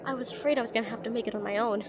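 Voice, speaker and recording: A woman's voice: fairly high pitch, steady tone, rapid-fire, close to an everyday voice. A woman playing a shy, rambling young witch student in a slice-of-life fantasy audio roleplay, chatty, hesitant and self-deprecating. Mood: nervous, friendly. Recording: voice over busy classroom crowd ambience.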